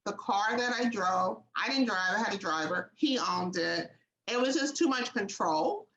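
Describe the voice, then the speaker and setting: Host: bright, candid American woman on a video call, echoey room. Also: medium pitch, lively intonation, normal speed, gravelly, dull audio. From United States. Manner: candid, storytelling. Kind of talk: casual video call discussion.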